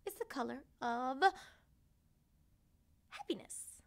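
A smug storybook cat cackles and taunts in a high, bouncy voice, as a woman acts it out. It drawls its jibes slowly and sweetly. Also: lively intonation, creaky, heavily acted. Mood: mocking. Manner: taunting laughter. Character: mocking yellow cat, smug and teasing.

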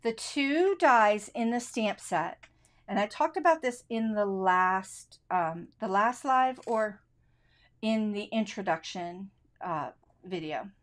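A woman's voice: mid-range voice, animated, slow pace. A middle-aged woman leading a live card-making demo, chatting enthusiastically and answering viewers' questions. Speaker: card-making instructor hosting a live stream. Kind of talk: casual live craft demonstration. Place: craft desk, indoors.

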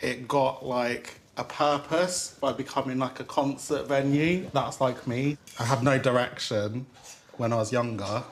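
A man's voice: mid-range voice, steady tone, unhurried, slight background noise. A man chatting playfully as a guest at a dinner party. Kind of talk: casual dinner table chat.

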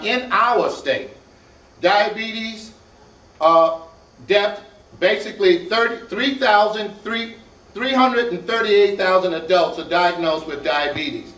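A man's voice: sits high, fairly bright tone, distant mic. Older male historian, folksy lecture, heard from across a library hall. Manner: folksy, unhurried, conversational. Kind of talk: public talk to a library audience. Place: large library room with an audience. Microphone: handheld mic at a podium.

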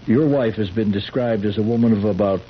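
A man plays a low-pitched sheriff in a 1970s radio play. He probes with patient, skeptical questions, hesitating as he goes. The sound is muffled and noisy like old radio. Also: fairly quick, close to an everyday voice. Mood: probing. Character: probing, skeptical male sheriff, patient but persistent. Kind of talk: 1970s radio drama acting. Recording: dull, noisy vintage radio recording.

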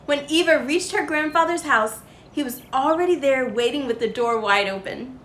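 A young woman reading a children's story aloud with expressive, sing-song emphasis. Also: sits high, normal speed, reverberant, slight background noise.

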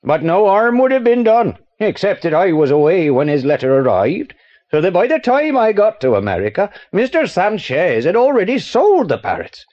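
Excitable British male art dealer, middle-aged, high voice that swoops wildly, theatrical audio drama style. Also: moderate pace, muffled recording. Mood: frustrated. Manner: excitable, theatrical, well-spoken. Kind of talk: audio play acting for children.

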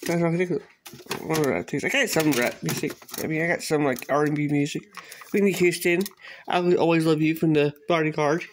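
A man chatting casually in a home video, halting and repeating himself as he shows off a collection. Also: fairly high pitch, steady tone. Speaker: cassette collector showing a collection. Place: small bedroom, at a closet, indoors. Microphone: handheld phone camera, speaker behind it. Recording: noisy handheld home recording, clicks.

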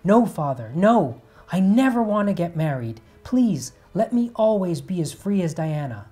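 A storyteller voicing a frantic young female wood nymph who begs and shouts to be left free. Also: mid-range voice, big pitch swings, measured pace, pitched above the performer's own voice.

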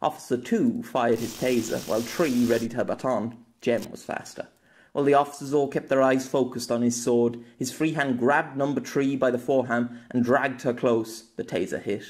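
A man's voice: medium pitch, steady tone, normal speed, darkish tone. Man narrating an action story dramatically. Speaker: audiobook narrator and author.

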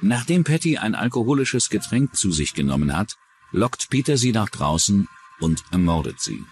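A man's voice: lowish voice, very animated, moderate pace, darkish tone, slight background noise. A man narrating a true-crime documentary from a script as its narrator.

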